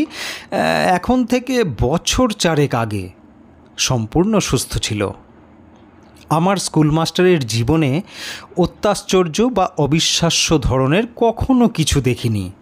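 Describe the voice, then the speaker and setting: Slow, higher-pitched male storyteller. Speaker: story narrator. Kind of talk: first-person scripted literary narration.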